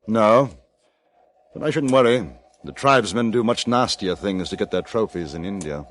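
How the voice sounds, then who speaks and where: An actor as a dry, understated ex-cavalry captain. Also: male voice, on the low side, moderate pace, fairly bright tone, a little hiss or hum, lightly acted. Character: reserved English ex-cavalry captain, adult man. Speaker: narrator and lead. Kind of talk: 1950s radio drama acting. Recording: old radio broadcast with music bed.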